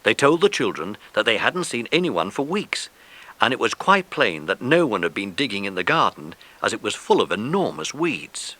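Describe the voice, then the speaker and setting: A man's voice: fairly low pitch, sing-song, moderate pace, pushed and bright. A man narrating a children's story from a script, as the narrator of an audiobook.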